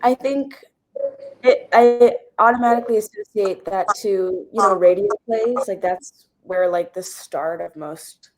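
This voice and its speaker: A woman's voice: mid-range voice, a little slow, low-quality recording. A woman giving a thoughtful, conversational answer as an interview guest.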